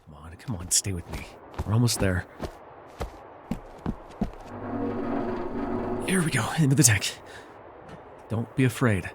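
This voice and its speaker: A man's voice: lowish voice, big pitch swings, normal speed, noisy background. A man playing a sardonic telepath villain who is a reluctant, protective mentor in a superhero audio roleplay, intense and emotive. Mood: tender, soothing. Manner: intense, emotive, swinging between biting and tender.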